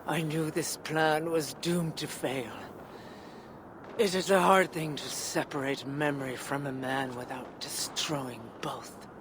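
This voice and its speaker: An actress playing a haughty, menacing ruler of the underworld in a video game cutscene, with a taunting, imperious delivery. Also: female voice, sits low, fairly even intonation, slow pace. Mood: bitter, weary. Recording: loud background noise of game ambience.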